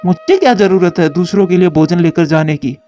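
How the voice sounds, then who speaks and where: A man's voice: high voice, animated, brisk, warm tone. A man voicing a selfish, sly, lazy male crow in a children's story cartoon. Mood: smug. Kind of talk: Hindi children's moral story cartoon dialogue. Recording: dull lo-fi track with music.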